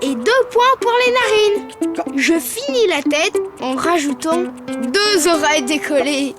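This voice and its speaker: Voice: very high voice, lively intonation, unhurried. An actor playing a friendly young child who explains drawing step by step in a preschool cartoon. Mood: confident, upbeat. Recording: loud music behind the voice.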